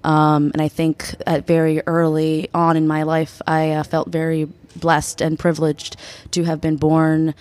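A woman in her twenties, a state legislator from the United States, giving earnest, measured answers as a podcast guest, with frequent ums. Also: deep voice, completely flat delivery, darkish tone. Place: conference venue.

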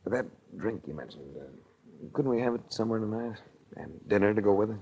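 Low-voiced, wry American radio detective. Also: male voice, animated, a little fast, a little mellow, dull audio, a little hiss or hum. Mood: smooth, flirtatious. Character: suave, wry, hard-boiled male insurance investigator. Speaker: lead actor and narrator of a detective series. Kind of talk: 1940s radio detective acting and narration. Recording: vintage 1940s radio recording.

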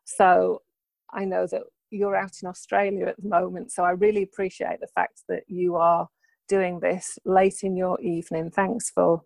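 A woman's voice: on the low side, little pitch movement, normal speed, creaky, dry recording. A woman hosting a podcast, introducing and interviewing her guest with eager enthusiasm. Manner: enthusiastic, warm thanks. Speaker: podcast host.

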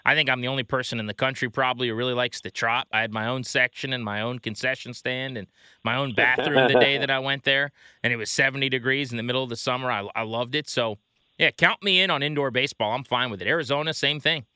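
A man's voice: medium pitch, steady tone, quick pace, pushed and bright, smooth, no echo. A man hosting a sports show, interviewing in a casual, conversational style.

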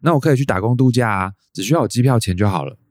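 A man's voice: medium pitch, lively intonation, very fast, a little mellow, natural voice. An actor playing a wistful man in his early thirties with a Taiwanese accent, narrating reflectively in a radio drama. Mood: tentative, pleading. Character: wistful thirty-two-year-old man dreaming of life abroad.

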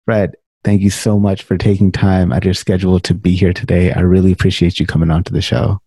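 A man's voice: low voice, level tone, fast, warm tone, husky, no room sound. A man hosting a podcast interview, reading out a formal guest introduction and asking friendly questions. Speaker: podcast host.

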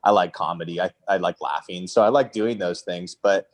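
Low-voiced young man, reflective and casual. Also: moderate pace. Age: early 20s. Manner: reflective, roundabout, self-questioning. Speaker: freelance copywriter asking for advice. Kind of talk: casual chat.

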